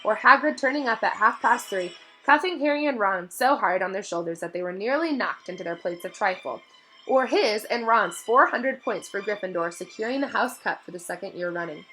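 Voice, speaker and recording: Bright-voiced woman reading a story aloud. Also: medium pitch, expressive, fairly quick, faint music behind, rough recording. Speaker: read-aloud narrator. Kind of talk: expressive storybook narration.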